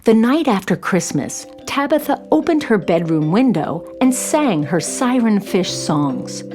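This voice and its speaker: A woman's voice: mid-range voice, very animated, a little slow, a little mellow, faint music behind. A woman narrating a scripted children's story as its storyteller.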